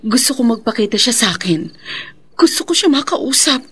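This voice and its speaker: A woman's voice: a little high, animated, normal speed, husky. A woman playing an earnest, worried but determined young widowed mother, pleading in a radio drama.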